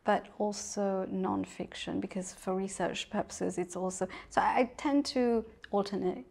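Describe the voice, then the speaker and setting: A middle-aged woman from Mauritius talks easily about books and family as an interview guest. Her voice has a gravelly, creaky texture, and she laughs often and doubles back on her words. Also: mid-range voice, unhurried. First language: English. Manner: warm, chatty, laughs often, many restarts. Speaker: author guest. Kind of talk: casual interview conversation.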